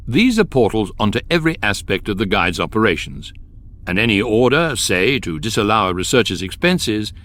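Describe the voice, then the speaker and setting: A man's voice: medium pitch, fairly bright tone. A man playing a droll, all-knowing electronic guidebook, narrating a comic radio drama in a dry, mock-lofty manner.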